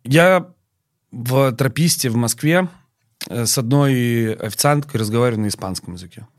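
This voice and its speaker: A man speaking as a chef and guest on a podcast, in a measured, firm, matter-of-fact manner. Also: mid-range voice, dry recording, studio quality. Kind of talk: podcast interview talk. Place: podcast studio.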